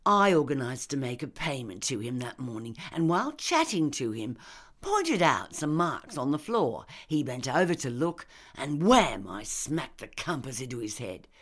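A woman's voice: a bass voice, full character voice. A woman voicing a scornful, ranting middle-class female murderer in an audiobook, sneering and emphatic. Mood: cold confession. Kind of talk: audiobook character dialogue.

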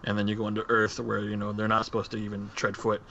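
A man's voice: on the low side, completely flat delivery, fast, sharp tone. A man co-hosting a podcast in casual discussion, heard through dull, lo-fi audio with faint noise. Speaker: podcast co-host. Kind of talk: casual movie-discussion chat.

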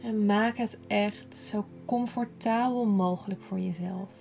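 A woman guiding a yoga nidra relaxation in a gentle, soothing, unhurried manner, breathy at times. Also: mid-range voice, steady tone, soft background music. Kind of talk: slow guided relaxation meditation.

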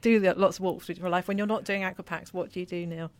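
A woman's voice: lowish voice, fairly even intonation, fast talker, a little mellow, dry recording. A woman who hosts a casual interview, chatty, laughing easily and filling in with frequent yeahs. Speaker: podcast host.

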